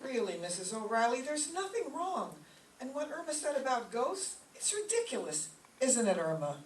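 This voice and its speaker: A woman's voice: sits high, sing-song, normal speed. A woman playing a sensible, exasperated, wisecracking young roommate in an old-time radio comedy. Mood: placating. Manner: dry, wisecracking. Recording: echoey stage, distant mic, faint noise.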